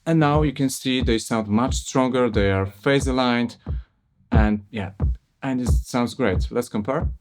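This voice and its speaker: A man with a Polish accent, a native Polish speaker, giving a casual software review and demo with plenty of "uh" and "you know". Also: medium pitch, a little slow. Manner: relaxed, explanatory, with frequent "uh" and "you know". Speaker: audio plugin reviewer.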